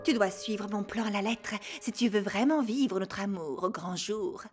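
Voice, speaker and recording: A woman's voice: medium pitch, moderate pace, lightly acted. A woman voicing a scheming, scornful, bossy queen in a French cartoon dub, commanding and impatient, with music behind her. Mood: impatient, conniving. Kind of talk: French animated film dubbing.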